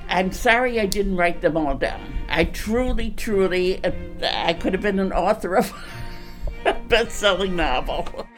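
A woman's voice: medium pitch, a little slow, faint music behind. An older woman reminiscing casually as a court clerk in an interview, repeating phrases for emphasis.

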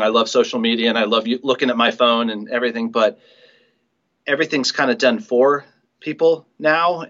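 A man's voice: medium pitch, a bit bright. Middle-aged man, slightly dull lo-fi recording. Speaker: guest, audio play writer and director. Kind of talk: casual conversational interview.

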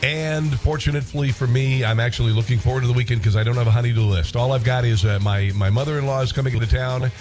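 A man's voice: mid-range voice, steady tone, quick pace, few pauses, music in the background. A congested man with an American accent hosting talk radio in a casual, wry monologue, repeating phrases for emphasis.

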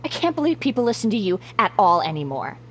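A woman's voice: medium pitch, very animated, fast, slight background noise, lightly acted. A woman playing a scornful, exasperated female coworker scolding someone in a horror audio story. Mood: angry, scornful.

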